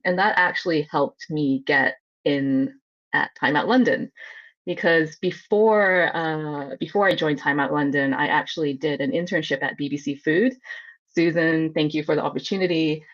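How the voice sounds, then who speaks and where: A woman from Hong Kong telling personal stories conversationally as a guest, chatty and expressive. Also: sits low, moderate pace, lo-fi sound. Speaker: food journalist guest.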